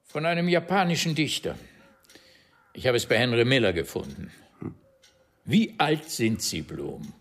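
An actor playing an urbane, menacing major of forty-nine in a radio crime drama, speaking in a mannered, condescending way. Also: male voice, mid-range voice, sing-song, unhurried, long pauses, fairly bright tone. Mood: condescending, amused. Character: urbane, menacing 49-year-old major with a guard dog.

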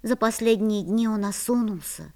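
A woman voicing a kind schoolboy who narrates a children's radio play with gentle, clear storytelling. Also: mid-range voice, normal speed, close to an everyday voice.

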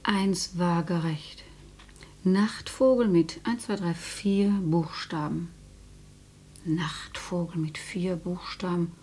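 An actress playing a matter-of-fact older housewife in a radio play, with a husky voice, often muttering as if to herself. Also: female voice, on the low side, slow, darkish tone, slight background noise. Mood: puzzled. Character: matter-of-fact grandmotherly housewife who learns she is dead.

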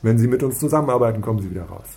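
An actor playing an impatient, pushy police detective, sarcastic and demanding, in a naturalistic radio crime drama. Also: male voice, mid-range voice, lively intonation, very fast, creaky, a little mellow. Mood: stern pressure.

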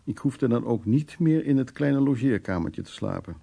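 An actor playing a cynical, worldly man who recounts his past in a wry, coarse radio drama monologue, with a raspy voice. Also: mid-range voice, lively intonation, moderate pace, warm tone. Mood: coarse, mocking.

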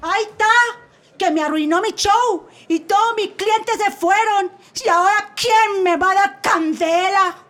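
A brassy showgirl with a very high, piping voice, played by a woman with a Mexican Spanish accent. She drawls slowly and suggestively, pleading her case in a comic radio sketch. Music plays softly underneath. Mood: indignant. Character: brash adult woman showgirl defendant. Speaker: defendant in a comic court sketch.

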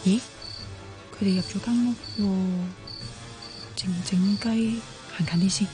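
A woman's voice: mid-range voice, fairly even intonation, unhurried, close to an everyday voice. An actress playing a nosy, anxious young woman muttering to herself in a Cantonese radio drama, with a Hong Kong accent. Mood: suspicious, curious. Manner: muttering thoughts aloud to herself. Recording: music under the voice.

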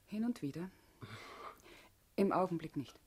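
A stern woman of the underground party in a radio play. Her voice is husky. She speaks curtly and with authority, guarded and torn in her loyalty. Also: lowish voice, expressive, a little slow, a little mellow. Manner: curt, commanding. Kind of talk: German radio drama acting.

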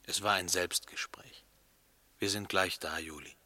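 An actor playing a brooding, dreamy lover in a German radio play, intimate and lyrical, often close to a whisper. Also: male voice, sits low, fairly even intonation, a little slow, sharp tone, no echo, heavily acted. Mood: dark, lyrical. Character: brooding, dreamy male lover speaking in dark poetic images. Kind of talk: German radio play acting, poetic and hushed.

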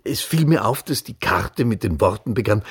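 An actor playing a reflective man recalling his student friendship in a German radio play, plainly told in a very husky voice. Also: lowish voice, expressive, normal speed, full character voice. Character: Soma Morgenstern, reflective male friend recalling student days.

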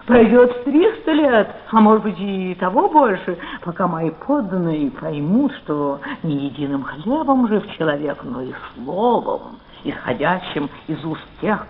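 An imperious queen in a theatrical radio play. She speaks very slowly and declaims with stately poise and dry wit. The old recording sounds dull and muffled. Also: on the low side, animated, a little hiss or hum. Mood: solemn, stately. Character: imperious, witty queen of Elizabethan England.

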